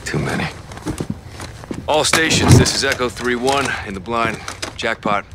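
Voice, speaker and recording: A man playing a steady special operations soldier in a video game, terse and understated. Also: mid-range voice, a little slow, very noisy, lightly acted. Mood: weary relief. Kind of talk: scripted video game acting. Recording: loud music behind the voice.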